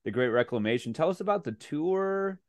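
A man's voice: fairly high pitch, lively intonation, fairly quick, darkish tone, no room sound, studio quality. A man hosting a podcast interview over a virtual call, asking eager, rambling questions with frequent restarts. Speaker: podcast host. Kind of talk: conversational interview. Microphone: remote call mic.

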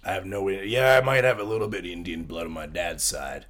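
A man co-hosting a casual podcast, throwing in dry, low-key quips. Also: fairly even intonation, fairly quick, fairly bright tone.

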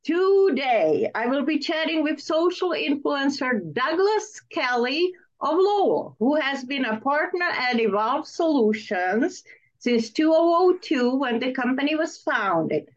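A woman's voice: high-pitched, big pitch swings, measured pace. A woman hosting an interview, presenting and asking questions in a prepared style. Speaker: interviewer, host. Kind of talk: interview questions, partly scripted introduction. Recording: dull, band-limited audio.

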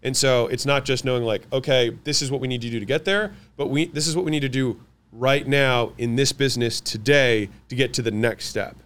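A man whose first language is French, answering interview questions about running companies, confident and quick, listing his points one after another. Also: mid-range voice, fairly bright tone. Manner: fast, confident, lists points in quick runs. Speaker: guest, business owner.

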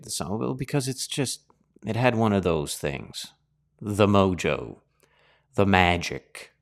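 A man with a very low, smooth and resonant voice talks in a loose, reflective monologue. He reminisces with plenty of ums and ahs. He is middle-aged and hosts his own show. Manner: rambling, nostalgic, with many fillers. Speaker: host.

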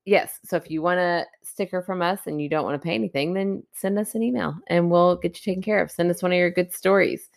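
A woman co-hosting a podcast in casual, unscripted banter, easygoing and joking. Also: on the low side. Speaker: podcast co-host.

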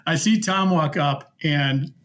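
A man's voice: on the high side, takes it slowly. A middle-aged man with an American Midwestern accent, casually telling anecdotes in a rambling, good-humoured way as a podcast guest. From Minnesota, United States. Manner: rambling, good-humoured, acts out reported dialogue. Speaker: podcast guest, former concert security worker.

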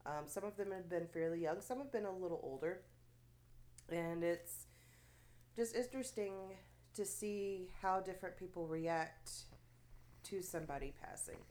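A woman's voice: on the low side, normal speed, a little mellow. A woman co-hosting a casual podcast, sounding stuffy from allergies, chatty with frequent fillers and little laughs. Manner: chatty, many fillers and restarts, laughs often. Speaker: podcast co-host.

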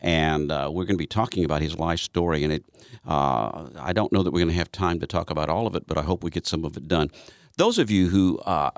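Folksy radio host, very low voice, close dry studio mic. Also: male voice, dry recording. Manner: folksy, chatty with frequent fillers. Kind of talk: radio interview. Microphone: studio microphone.

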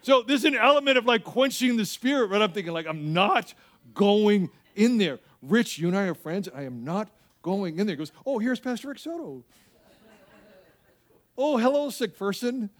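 A man preaching as a pastor in an animated, conversational way, repeating phrases for emphasis and telling humorous personal stories. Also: sits high, normal speed. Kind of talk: sermon with personal anecdotes and acted-out dialogue.

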